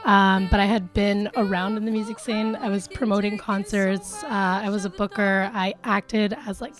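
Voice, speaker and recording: A woman in her twenties with a raspy voice, a musician talking sincerely and chattily in an interview. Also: mid-range voice, level tone, moderate pace. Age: 20s. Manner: sincere, chatty, laughs often. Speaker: musician guest. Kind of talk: casual interview talk.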